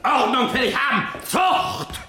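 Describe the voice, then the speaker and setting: An actor playing a gruff, choleric middle-aged Prussian king who barks like a drill sergeant in a German radio play. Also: very high-pitched, animated, a little slow, music in the background, exaggerated voice. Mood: furious, barking. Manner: barking military commands, blustering. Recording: noisy roomy vintage radio recording.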